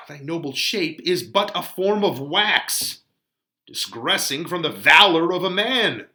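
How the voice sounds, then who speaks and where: A man's voice: on the high side, lively intonation, takes it slowly, bright, forceful tone, heavily acted. A man voicing an exasperated holy friar who scolds in declamatory Shakespearean verse. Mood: exasperated scolding. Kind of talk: dramatic Shakespeare reading.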